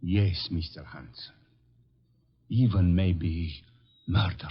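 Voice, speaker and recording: An actor playing an anxious, bitter foreign-born shopkeeper in a radio drama, with halting, stiffly formal English. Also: male voice, deep voice. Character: anxious, bitter foreign-born male flower shop owner. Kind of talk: 1950s radio acting. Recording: muffled old radio recording.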